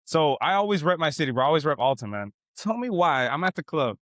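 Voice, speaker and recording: A young man from South Texas hosting a podcast in a barbershop, telling stories in slangy, animated casual chat. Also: a little high, fast, no room sound, clean studio sound. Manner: animated storytelling, slangy, says "bro" and "man" a lot. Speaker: podcast host.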